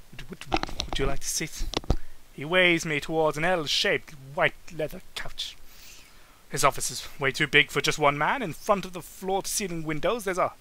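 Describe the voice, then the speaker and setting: A man's voice: a little high, pushed and bright, slight background noise, rough recording. A man giving a goofy, exaggerated comedic reading of prose, often stumbling and breaking into asides. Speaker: amateur reader making a comedic dramatic reading. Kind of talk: hammy comedic audiobook-style narration.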